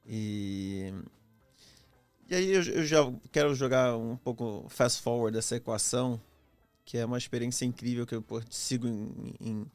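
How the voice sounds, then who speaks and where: A Brazilian man interviews a guest in a casual, conversational way. His voice sits at a middle pitch and he builds questions haltingly, doubling back on words, with soft music faintly in the background. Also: clear, resonant tone, dry recording. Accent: Brazilian Portuguese. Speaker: podcast host, interviewer.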